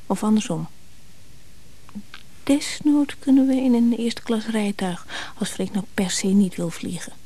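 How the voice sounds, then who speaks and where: A woman's voice: mid-range voice, dark tone, slightly rough. A woman playing an affectionate, teasing, self-willed middle-aged wife in a radio play, coaxing and playful. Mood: pensive. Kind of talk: naturalistic radio play dialogue.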